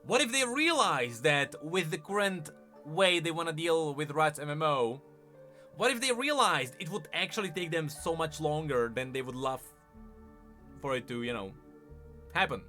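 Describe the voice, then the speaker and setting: Male gaming streamer, slightly high voice with lively swings, casual and conversational, music behind him. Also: normal speed. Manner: conversational, thinking aloud with repeated rhetorical questions and emphatic repeats. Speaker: streamer and host of a gaming news channel. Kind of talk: casual live-stream commentary on gaming news. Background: background music.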